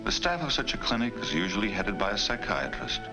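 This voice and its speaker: A male narrator of an old educational film, very low in pitch with lively rises and falls. He sounds formal and authoritative, with prominent music behind him on the vintage soundtrack. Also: brisk, poor audio.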